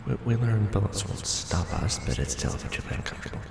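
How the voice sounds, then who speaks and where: A man's voice: low-pitched, lively intonation, fairly quick, vocal fry, slight background noise, pitched above the performer's own voice. Nervous, rambling young guy played by a man. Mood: wry, cautious. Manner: hesitant, rambling, informal. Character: nervous, joking young male ex-janitor hosting an alien. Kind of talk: roleplay audio drama.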